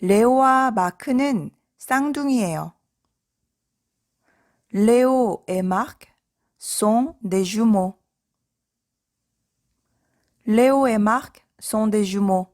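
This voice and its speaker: A woman language teacher reading out short scripted example sentences for practice, with careful, measured enunciation. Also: fairly high pitch, a little mellow. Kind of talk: scripted language drill, sentences read out and repeated.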